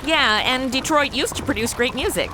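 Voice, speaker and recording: A woman's voice: high-pitched, normal speed, close to an everyday voice. A woman playing a brash, sarcastic, whiny modern American sidekick in a comedy audio drama, full of slang. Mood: dry mockery. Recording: noisy, rain-like hiss behind.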